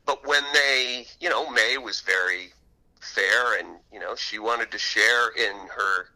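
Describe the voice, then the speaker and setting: Man, very bright forceful tone, interview guest, animated conversational storyteller. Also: fairly low pitch, slow, rough recording.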